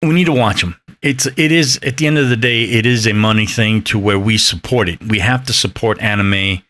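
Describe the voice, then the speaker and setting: 50-ish guy riffing on his podcast, kinda low voice, swears a lot, super dry mic. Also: fairly quick, fairly bright tone, no room sound. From Mexican heritage. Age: 50s. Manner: rambling, profane and emphatic. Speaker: podcast host. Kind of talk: casual solo podcast talk.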